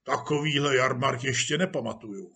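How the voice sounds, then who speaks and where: A man's voice: medium pitch, vocal fry, sharp tone, slightly rough, heavily acted. A man voicing a pompous, jovial, patronizing police chief in an audiobook story, with a condescending, chummy manner. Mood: hearty, patronizing. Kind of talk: acted audiobook dialogue.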